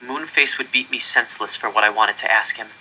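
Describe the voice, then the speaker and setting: A man's voice: medium pitch, brisk, sharp tone, poor audio. A man reading a first-person story aloud in an earnest, suspenseful narrating manner. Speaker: audiobook-style reader.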